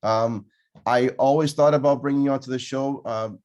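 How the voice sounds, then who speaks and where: A man's voice: mid-range voice, fairly even intonation, normal speed, darkish tone, muffled recording. A man hosting a podcast, introducing his guest with an upbeat tone and frequent fillers. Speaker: podcast host. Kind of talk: casual podcast interview.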